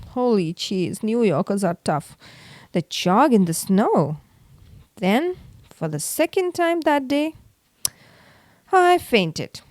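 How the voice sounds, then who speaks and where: Slow, expressive woman reading a storybook. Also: fairly high pitch, no echo. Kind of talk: children's storybook read-aloud.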